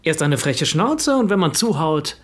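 An actor playing a brash, callous business partner in a radio play, blustering and jeering in a coarse manner. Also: male voice, fairly high pitch, sing-song, measured pace, breathy edge, heavily acted. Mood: bragging. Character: brash, callous male business partner, crude and self-righteous.

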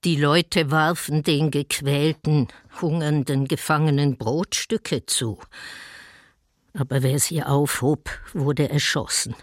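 Slow, grave female eyewitness. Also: low-pitched, steady tone, no room sound, clean studio sound. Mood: grave, restrained. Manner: slow, restrained, deliberate. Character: grave female eyewitness recalling wartime horrors. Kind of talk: radio docudrama reading of memoirs.

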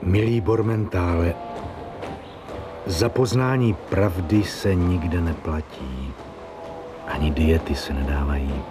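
Man as very deep, brooding writer. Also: natural voice. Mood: wistful. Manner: measured, brooding, halting. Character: grave, wistful writer answering a letter. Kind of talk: radio drama monologue. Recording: music behind the voice.

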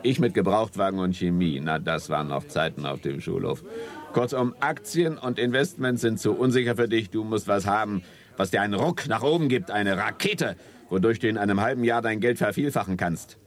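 A man's voice: on the low side, expressive, a little fast, natural voice. A man acting a cocksure, patronizing stock-market speculator in a 1970s radio play, boastful and slangy. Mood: patronizing, jovial. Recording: 1970s studio radio play, crowd chatter behind.

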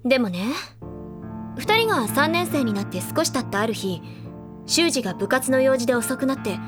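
A woman playing a confident, teasing high-school senior girl who recounts gossip in a feminine storytelling way in an audio drama. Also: expressive, normal speed, music in the background. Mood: knowing, gossipy. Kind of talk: anime audio drama dialogue.

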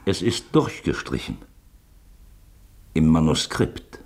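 A shrewd, insinuating questioner in a radio drama. His husky low voice swings widely in pitch as he presses his point deliberately. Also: very animated, darkish tone, full character voice. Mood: measured probing. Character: shrewd, probing man quoting a suspect manuscript, insinuating. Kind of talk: German radio play acting.

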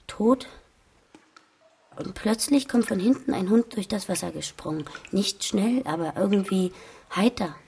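An actress playing a pensive, dreamy daughter in a radio drama interior monologue, hushed, hesitant and reflective. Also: female voice, medium pitch, gravelly, slight background noise. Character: pensive, dreamy daughter puzzling over an image.

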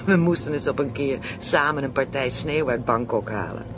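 A man acting in a radio play as a brash, greedy criminal, slangy, boastful and excitable. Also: normal speed, close to an everyday voice. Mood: gleeful, boastful. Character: brash, greedy, playful Amsterdam criminal plotting kidnappings. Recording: radio play with clear vehicle rumble.

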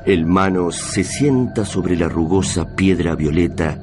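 A man's voice: deep voice, a little slow, over music. A man with an Argentine accent narrating a radio drama in an intense, literary, scripted style.

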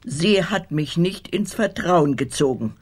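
Casting: elderly loyal housekeeper, extremely husky, unhurried but swinging in pitch, terse and guarded. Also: female voice, expressive, a little mellow. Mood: curt, reticent. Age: older. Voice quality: very husky, breathy. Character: guarded old Polish housekeeper, loyal and curt. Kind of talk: radio crime drama dialogue.

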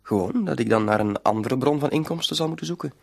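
Polite greedy blackmailer for a radio play, creaky voice, calm menace. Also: male voice, mid-range voice, fast. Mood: coolly threatening. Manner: mock-courteous, calmly menacing. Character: smooth-talking, polite, greedy male blackmailer. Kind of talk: Dutch-language radio drama acting.

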